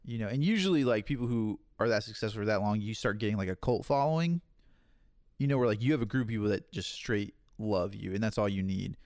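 A relaxed man in his thirties talks in an easygoing, chatty way, laughing often. His voice sits a little low, and the recording is close and dry with no room sound. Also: quick pace, dry recording. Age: 30s. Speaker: podcast guest, online campus pastor. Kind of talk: casual podcast chat.

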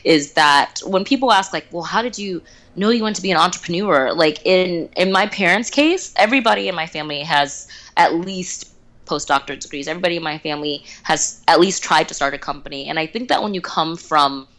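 A woman from Houston, Texas, telling her story in an animated, conversational way as an interview guest. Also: lowish voice, a little fast. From Houston, Texas, United States. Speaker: interview guest, startup founder.

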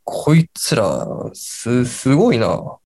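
Guy acting as young late night radio host, mid voice, halting rambly chat full of fillers. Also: mid-range voice, sing-song, darkish tone, heavily acted. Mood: bemused. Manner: halting, filler-heavy, then bursts of words.

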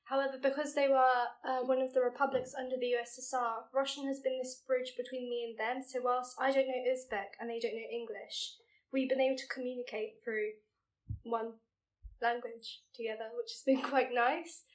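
A teenage girl speaks as a podcast guest, her voice a touch high. She talks in a measured, friendly way about her experiences. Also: level tone. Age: teens. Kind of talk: casual discussion.

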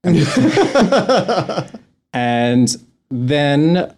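A man's voice: a little high, sing-song, very slow, darkish tone. A young man from Australia with a mild Australian accent, answering interview questions in a chatty way, with frequent laughs and restarts. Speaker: guest.

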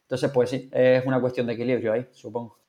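A man's voice: mid-range voice, level tone, fast talker, dark tone, highs cut off. A man chatting casually as a podcast co-host, thinking aloud with frequent restarts. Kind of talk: casual chat.

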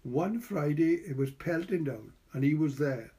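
A man's voice: a little high, animated, a little slow, mellow. A man reading the part of a small, tidy, wistful young woman in a storybook. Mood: wistful, insistent.

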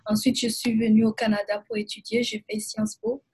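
Husky, even-toned woman. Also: fairly high pitch, normal speed, rough recording. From Burkina Faso. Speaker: guest, immigration lawyer. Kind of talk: interview answers.

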